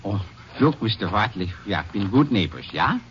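A man playing an indignant, flustered German immigrant farmer in a 1950s radio drama, speaking halting English with a German accent. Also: fairly low pitch. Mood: hurt, indignant. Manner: halting, excitable, broken English. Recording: noisy, muffled old 1950s radio recording.

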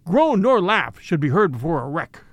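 A man voicing a grim, obsessed old sea captain who booms his orders in an old-fashioned, declamatory way. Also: sing-song, normal speed, dry recording. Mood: scornful. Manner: booming, declamatory.

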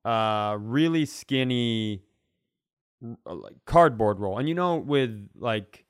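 A man with a clear, smooth voice and a dry, close sound. He tells stories casually as a comedy podcast host, in animated bursts broken by pauses. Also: medium pitch, dry recording. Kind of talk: casual comic storytelling.

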